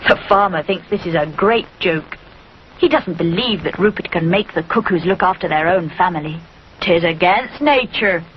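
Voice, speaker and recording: A woman narrating a scripted children's story in an expressive storytelling manner. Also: on the low side, normal speed. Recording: muffled recording with clear background noise.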